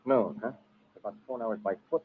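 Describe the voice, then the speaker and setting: An actor playing a brash, wisecracking American adventurer, slangy and clipped, in an old-time radio drama. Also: male voice, lowish voice, brisk, natural voice. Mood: wry, frustrated. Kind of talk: old-time radio adventure acting with first-person narration. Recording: dull, hissy old radio recording.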